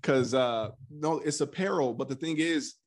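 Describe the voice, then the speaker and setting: A man's voice: fairly high pitch, moderate pace. Earnest man telling his story. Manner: earnest, animated storyteller who voices his past self in quotes; frequent restarts and repeated words. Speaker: podcast guest. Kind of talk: casual conversational interview.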